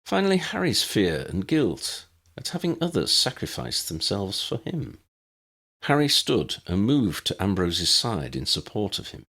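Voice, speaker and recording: A man's voice: on the low side, huge pitch swings, unhurried, a bit bright. A man reading scripted fantasy fiction as an audiobook narrator.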